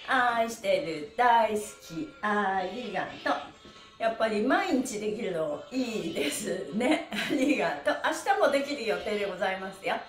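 A woman's voice: medium pitch, expressive, moderate pace. A middle-aged woman leading a livestreamed stretching class, cheerfully calling out instructions and rhythmic chanted counts. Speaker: stretching instructor and pantomime teacher. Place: small indoor studio with bare wall. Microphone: camera a few metres away. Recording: roomy, distant microphone, faint music.

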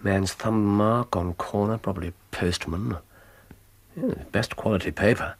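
An actor playing a brilliant, aloof English detective in a radio drama, precise, musing and dryly amused. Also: male voice, lowish voice, a little slow, slightly rough. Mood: musing, brisk.

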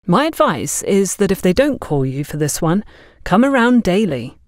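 A woman's voice: on the low side, sing-song, normal speed, a little mellow, dry recording. A friendly, chatty young security guard voiced by a woman talks in an audio story. The recording is dry and close. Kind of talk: audio story character dialogue.

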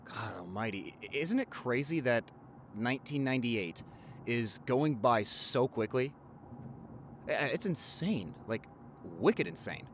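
Young male lead acted by a man: affectionate, playful doctor boyfriend for intimate audio roleplay, chatty, with very lively intonation. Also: medium pitch, measured pace, slight background noise. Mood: chatty, amazed. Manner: gushing, chatty, with repeated words and exclamations.

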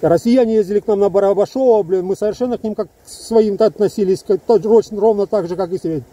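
A man's voice: sits high, quick pace, dark tone. A man in his fifties from Ukraine, talking heatedly to his phone camera outdoors among trees, in an emphatic, rambling monologue full of asides. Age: 50s. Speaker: soldier vlogger. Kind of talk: casual video monologue to camera. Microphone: phone held close, selfie framing.

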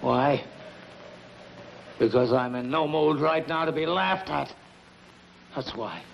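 Touchy gangster, higher-pitched voice. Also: male voice, slow, vocal fry, slight background noise. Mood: tense, threatening. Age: middle-aged. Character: touchy, insecure middle-aged male gangster, brooding. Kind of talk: 1950s crime drama film acting. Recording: dull old film soundtrack with music.

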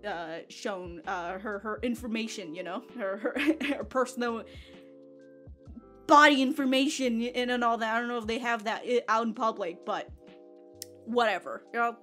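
A woman's voice: on the high side, moderate pace, fairly bright tone, soft background music. Rambling young woman reviewing anime. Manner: rambling, laughs often, swears, repeats words. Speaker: anime reaction host. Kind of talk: casual review chat. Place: small room with anime backdrop. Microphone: large foam-covered studio mic in front.

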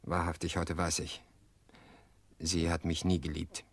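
An actor playing an earnest, weary middle-aged widower in a radio play, speaking in a measured, reflective way with hesitant pauses. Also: male voice, deep voice, level tone. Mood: resigned, reflective. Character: weary, earnest widower confessing to his sister-in-law. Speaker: actor in an audio drama.

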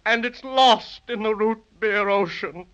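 High-voiced, whimpering silly dragon. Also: male voice, unhurried, loud music behind the voice. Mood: contrite. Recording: muffled old 1930s radio recording.

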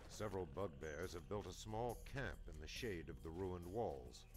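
Deep, solemn dungeon master. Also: male voice, lively intonation. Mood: solemn, ominous. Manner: measured, dramatic. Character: solemn male dungeon master narrating a fantasy adventure. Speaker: game narrator. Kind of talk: scripted fantasy game narration. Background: game music.

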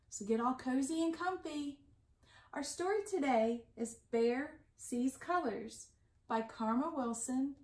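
A woman in her forties reading a picture book aloud to young children, with sing-song emphasis and drawn-out key words. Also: high-pitched, a little mellow. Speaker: library storytime reader. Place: small indoor room at home. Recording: echoey room, slightly distant mic.

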